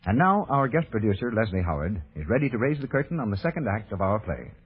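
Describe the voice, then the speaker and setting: A man's voice: fairly low pitch, sing-song, quick pace, husky. A man announcing a scripted commercial in 1930s radio style. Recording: muffled old radio recording.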